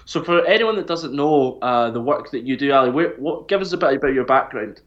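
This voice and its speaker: A man hosting a football podcast, friendly and relaxed, calling his guest mate. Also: medium pitch, fairly quick. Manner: friendly, laughs easily, says mate. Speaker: podcast host. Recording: slightly dull audio.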